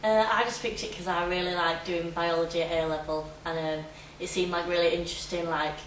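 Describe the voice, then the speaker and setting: A woman's voice: fairly low pitch, fairly even intonation, a little fast, distant mic. A young woman from Leeds, a student answering casually with frequent "like". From Leeds, England. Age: young adult. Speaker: student interviewee. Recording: echoey room, from afar, faint noise.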